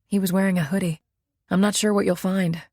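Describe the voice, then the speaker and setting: A woman's voice: mid-range voice, fairly even intonation, a little fast, a little mellow, dry recording, clean studio sound, voice pushed up, natural voice. A woman voicing a wry, shaken woman after a mugging.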